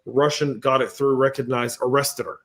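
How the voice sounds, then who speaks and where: A man hosting a podcast panel, telling lively stories and reading out viewer comments in a casual, chatty way. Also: medium pitch, normal speed. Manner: animated storyteller, acts out exchanges. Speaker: podcast host.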